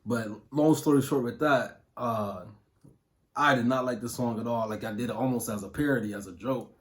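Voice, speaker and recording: A man's voice: mid-range voice, normal speed. A man in his thirties from Ohio, a music producer answering interview questions in a loose, casual way with plenty of fillers and slang. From Toledo, Ohio. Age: 30s.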